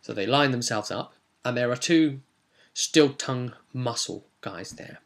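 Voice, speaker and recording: A man's voice: mid-range voice, a little slow, creaky, fairly bright tone. A man with a British accent casually recounting a tabletop role-playing session as game master, chatty and explanatory, with asides to the viewer.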